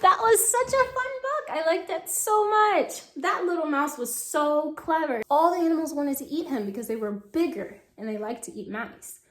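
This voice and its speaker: A woman reading to young children and discussing the book, expressive and asking questions directly to the listeners. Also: sits high, normal speed, few pauses, echoey room. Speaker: children's story reader and teacher. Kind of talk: animated read-aloud and discussion for young children.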